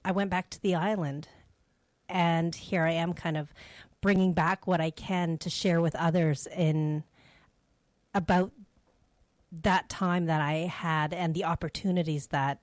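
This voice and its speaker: Middle aged canadian lady telling her faith story on a radio show, kinda low voice, close dry sound, earnest. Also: fairly even intonation, a little slow, dry recording. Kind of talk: personal testimony, conversational monologue.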